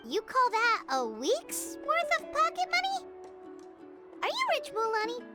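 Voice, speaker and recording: Tiny fairy companion for a game: very high, squeaky, wildly animated, nosy and excitable. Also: female voice, measured pace, over loud music, heavily acted. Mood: incredulous. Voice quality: squeaky, childlike. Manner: exclamatory, incredulous. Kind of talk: video game voice acting.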